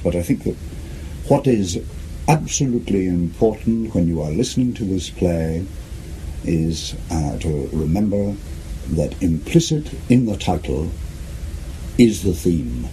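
A man making deliberate, emphatic, halting remarks in a 1970s radio interview. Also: low-pitched, lively intonation, mellow, faint music behind, low-quality recording.

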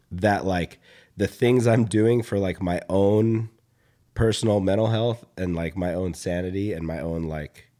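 A young man with a slightly hoarse voice chatting casually as a podcast host, rambling and halting, with some casual swearing. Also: low-pitched, mellow. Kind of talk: casual chat.

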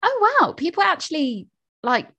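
A woman's voice: sits high, huge pitch swings, normal speed, pushed and bright. A woman, co-hosting a podcast in upbeat, agreeable casual chat. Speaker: podcast co-host.

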